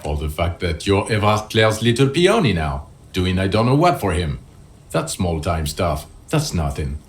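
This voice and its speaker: An actor playing a stern, skeptical senior police officer with a blunt, sarcastic manner in a video game. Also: male voice, low voice, sing-song, moderate pace. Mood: scornful.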